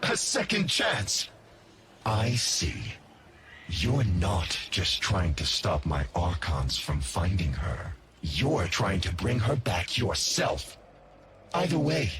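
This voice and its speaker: An imperious, wounded machine warlord from a cinematic game. He talks slowly and theatrically, swinging widely in pitch with scornful menace. Clear noise sits behind the voice. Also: heavily acted. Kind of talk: cinematic game villain acting.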